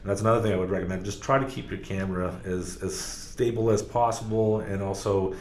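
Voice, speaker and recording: A man's voice: lowish voice, steady tone, fairly quick, darkish tone. A man speaking as a podcast guest, talking casually with lots of fillers and restarts. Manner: rambling, many fillers and restarts. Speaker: podcast guest, car sales professional. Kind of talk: casual interview talk. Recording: echoey room, faint background noise.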